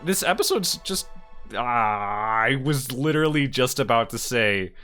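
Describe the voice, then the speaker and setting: A man hosting a reaction video with casual, unscripted commentary, halting and emotive, often repeating his phrases. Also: mid-range voice, lively intonation, bright, forceful tone, soft background music.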